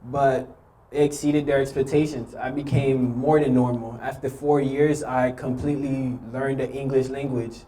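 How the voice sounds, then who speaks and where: A young man from Haiti nervously telling his own story to an audience, with many pauses and ums. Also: medium pitch, flat delivery, warm tone, slight background noise, poor audio. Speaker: youth speaker sharing his story. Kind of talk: personal testimony speech.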